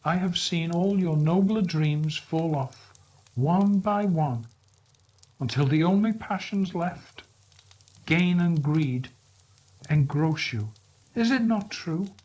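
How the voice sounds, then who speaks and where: Man voicing a gentle, sorrowful young woman, slow. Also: on the high side, expressive, pitched above the performer's own voice, low-quality recording. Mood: gentle reproach.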